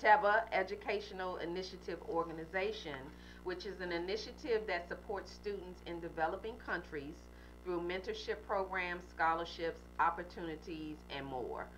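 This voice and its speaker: A woman's voice: lowish voice, moderate pace, fairly bright tone, slight background noise, low-quality recording. A woman hosting a radio talk show, introducing a guest in a chatty, upbeat way with frequent fillers.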